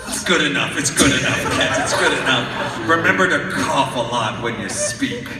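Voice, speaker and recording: An actor playing a blustering, bossy schemer with a theatrical, exclamatory manner in a live old-time radio drama. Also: male voice, medium pitch, expressive, moderate pace, a crowd in the background, natural voice. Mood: coaxing, amused. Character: blustering, bossy man scheming to disguise his friend. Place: large convention hall stage with audience. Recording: echoey hall, far-off audience camera, noisy.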